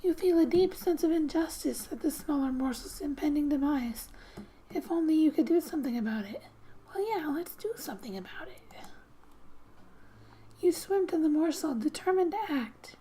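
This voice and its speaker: A woman speaking softly and breathily, often near a whisper, reading a game's story aloud in a gentle, unhurried ASMR style with casual asides. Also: high-pitched, expressive, a little hiss or hum, poor audio. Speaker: ASMR gamer and host.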